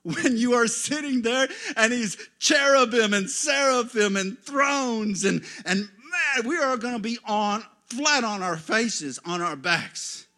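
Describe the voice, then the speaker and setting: A man preaching in a church, telling stories and swinging from quiet asides to loud, excited exclamations. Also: extremely high pitch, slow, hardly any pauses. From United States. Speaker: guest pastor. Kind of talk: sermon.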